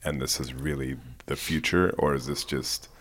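A man's voice: extremely low pitch, little pitch movement, moderate pace, fairly bright tone, slight background noise. A male podcast host who asks probing questions and answers his guest with short agreements. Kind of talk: podcast interview.

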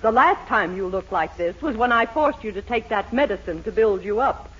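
A woman's voice: medium pitch, expressive, moderate pace, fairly bright tone, husky. An actress playing a domineering, melodramatic middle-aged wife and mother in a 1940s radio sitcom. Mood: suspicious. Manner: domineering, scolding, theatrical. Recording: noisy, muffled 1940s radio recording.

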